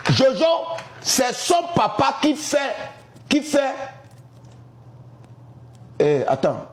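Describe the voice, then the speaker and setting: Brief: man, high, lively sing-song shouting rant, slow delivery, echoey room. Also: slight background noise. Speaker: TikTok commentator in a played clip. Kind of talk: excited preaching-style rant. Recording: roomy echo.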